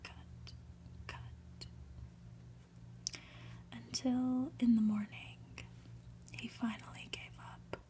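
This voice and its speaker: A woman softly reading a story aloud in an ASMR style, in a gentle, measured manner. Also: fairly high pitch, level tone. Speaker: ASMR reader.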